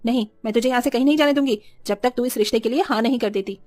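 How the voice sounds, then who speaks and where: A woman voicing a jealous, insistent village wife and mother in an animated horror story. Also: fairly high pitch, racing, close to an everyday voice. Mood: insistent, angry. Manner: scolding, insistent.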